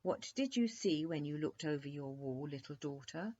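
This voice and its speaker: A woman voicing a practical, consoling queen mother in a storybook, gentle and reassuring. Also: low-pitched, lively intonation, normal speed. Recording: dull lo-fi recording.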